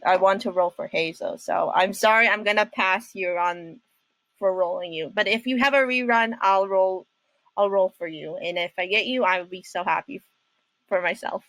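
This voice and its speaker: A woman hosting a reaction video with casual commentary, speaking haltingly and often repeating her phrases. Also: mid-range voice, a bit bright, no echo, low-quality recording. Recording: music behind the voice.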